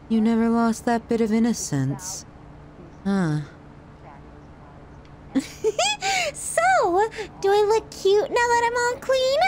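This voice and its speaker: A woman's voice: extremely high pitch, exaggerated voice. A woman voicing an obsessive, doting young yandere girlfriend in an ASMR roleplay, with a cutesy, giggly manner and an undertone of menace. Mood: coy, affectionate.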